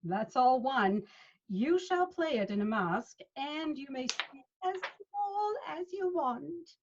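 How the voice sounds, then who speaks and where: A woman's voice: high voice, lively intonation, takes it slowly, a little mellow. A woman playing a patient male leader of amateur players in a Shakespeare read, instructing expressively over a video call. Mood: directing. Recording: dull video-call audio.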